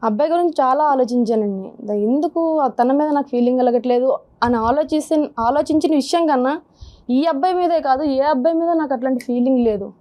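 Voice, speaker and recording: A woman's voice: sits high, fairly quick, warm tone, a little hiss or hum. A woman in her twenties, earnestly and hesitantly telling her personal story in an interview. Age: 20s. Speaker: guest sharing her problem. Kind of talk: confessional interview.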